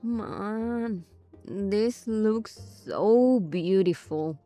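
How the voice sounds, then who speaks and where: A woman giving casual commentary on a game playthrough, thinking aloud in a halting way. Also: medium pitch, dark tone. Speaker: gaming streamer. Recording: game music behind the voice.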